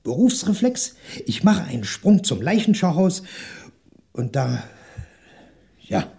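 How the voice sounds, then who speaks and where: Courteous ex-detective shopkeeper, slightly rough mellow voice, hesitant, evasive, stalling interjections, vintage German radio drama. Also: male voice, fairly high pitch, very animated, slow pace, heavily acted. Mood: guarded. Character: polite, evasive male French shopkeeper, former private detective. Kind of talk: 1960s German radio crime drama acting.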